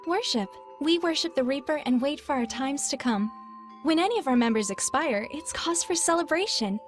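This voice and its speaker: A serene cult gatekeeper in a video game. She speaks in a high voice, measured and soothing, over faint music. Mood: serene, testing. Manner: calm, measured, reassuring. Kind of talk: video game character acting.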